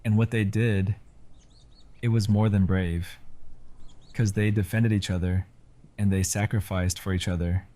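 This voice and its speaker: Grieving, resolute survivor played by an actor addressing his community; low, very slow, solemn audio drama delivery. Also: fairly even intonation, dark tone, natural voice.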